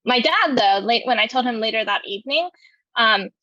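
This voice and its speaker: A woman's voice: fairly high pitch, lively intonation, normal speed, sharp tone, dry recording. A woman in her twenties from California, telling her life story in an oral history interview, talking hesitantly with many fillers and restarts. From California, USA. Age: 20s. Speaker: veteran interviewee. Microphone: video call. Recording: muffled video call audio.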